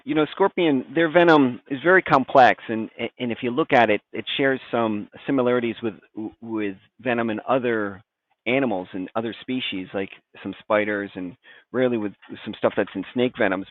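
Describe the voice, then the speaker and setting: Middle aged guy doctor explaining stuff casually, sounds like a phone call, no room echo. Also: mid-range voice. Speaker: doctor, toxicology expert guest. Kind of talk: informal expert explanation. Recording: tinny phone-like band-limited line.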